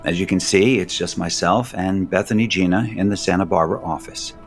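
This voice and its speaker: Low-voiced, composed corporate executive. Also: male voice, soft background music. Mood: formal. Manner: formal, measured, firm. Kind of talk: audio soap opera acting.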